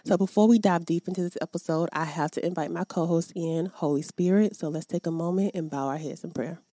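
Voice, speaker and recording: A woman's voice: lowish voice, a little fast, mellow, dry recording, studio quality. Upbeat woman hosting a faith podcast. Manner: upbeat, addresses listeners directly, prays aloud. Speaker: podcast host. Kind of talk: faith podcast chat and prayer. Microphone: podcast microphone.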